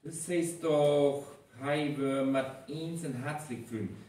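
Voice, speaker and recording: A man's voice: a little high, slow pace, darkish tone. A man acting an earnest sweetheart in a live North Frisian stage radio play. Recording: very echoey hall, distant, noisy live recording.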